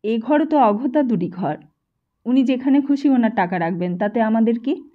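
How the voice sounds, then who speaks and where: A woman voicing a gentle, humble, devoted mother in an audiobook, speaking in a reassuring, admonishing way. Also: on the high side, animated, normal speed, narrow, tinny audio, lightly acted. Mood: gently admonishing. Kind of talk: audiobook character voice.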